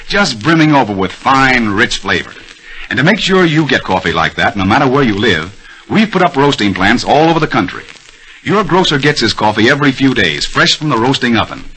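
An American man working as a radio announcer, delivering an emphatic, folksy 1930s advertising pitch on a vintage broadcast. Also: sharp tone, a little hiss or hum, rough recording.

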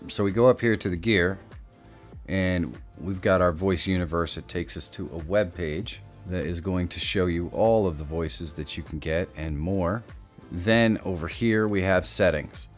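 A man hosting a software tutorial, explaining things step by step as narration. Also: low-pitched, a little slow. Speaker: tutorial host. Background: background music.

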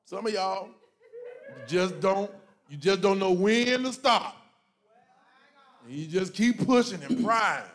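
A middle-aged man, a pastor talking to his congregation from a church stage into a handheld mic. He jokes and preaches in a lively way, often breaking into loud, emphatic exclamations. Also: high-pitched, slow, pauses often. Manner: animated, joking, bursts into loud emphatic exclamations. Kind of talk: preachy conversational talk to a congregation.

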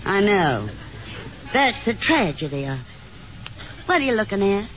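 A woman's voice: mid-range voice, big pitch swings, measured pace. An actress playing a fussy, talkative, hopeful middle-aged mother in a 1950s radio drama, with a dramatic, insistent manner. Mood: wistful chatter. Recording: dull, noisy 1950s radio broadcast.

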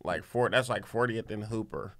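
A man's voice: lowish voice, moderate pace. A man in his thirties from Los Angeles, chatting as a comedian guest on a podcast in a small studio, laid-back and slangy, trailing off into mumbles. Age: 30s. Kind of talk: casual podcast chat. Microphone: boom mic on arm.

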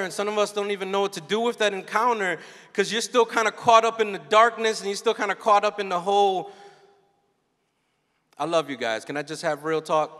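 A man in his thirties giving an animated, story-filled keynote talk to a youth conference crowd on a handheld mic. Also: high voice. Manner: animated, storytelling, acts out quotes. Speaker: keynote speaker. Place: large dark arena stage before a young crowd. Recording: long hall reverb.

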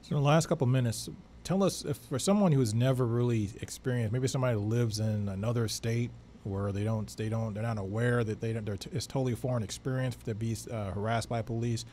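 A man's voice: mid-range voice, fast talker, dark tone, a little hiss or hum. A middle-aged American man hosting a broadcast conversationally, speaking close to a studio microphone. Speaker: radio show co-host. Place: small office studio, indoors. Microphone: close studio microphone with headphones.

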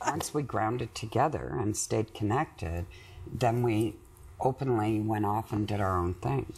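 Middle-aged female therapy client, marked creak, conversational, slight background noise. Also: extremely low pitch, unhurried, a little mellow, poor audio. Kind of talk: personal conversation with partner. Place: studio set on a sofa.

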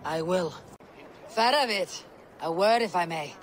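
A woman playing a stern, determined Viking warrior in a video game, blunt and commanding in a measured delivery. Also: fairly low pitch, expressive, slight background noise. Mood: resolute. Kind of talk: dramatic video game cutscene acting.

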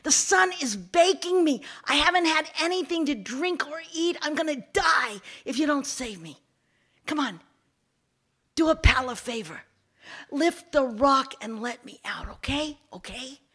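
A treacherous little snake from a storybook fable, played by a woman, high and bright. He wheedles like an old pal, then taunts, with big swoops in pitch. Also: animated, measured pace. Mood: pleading, wheedling. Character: sly, treacherous, wheedling male snake who gloats.

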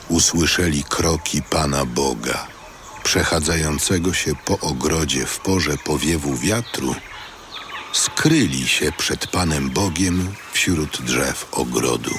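Male narrator, extremely low voice, unhurried solemn scripture reading. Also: lively intonation, a bit bright, a little hiss or hum.

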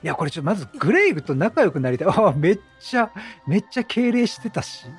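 A man with a high, very lively voice doing casual game commentary. He is chatty and exclamatory, joking as he reacts out loud, with soft game music underneath. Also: fast talker. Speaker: game streamer.

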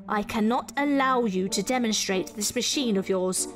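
A woman's voice: mid-range voice, over music, natural voice. A woman voicing a stern, commanding female reptilian detective with an imperious manner, in an audio story. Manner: slow, imperious.

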